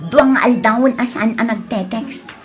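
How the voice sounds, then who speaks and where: A woman's voice: a little high, normal speed, soft background music, lightly acted. A woman acting in an Ilocano audio drama as a defiant, lovesick young daughter, with a plaintive, emotional delivery. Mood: fretful. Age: young adult.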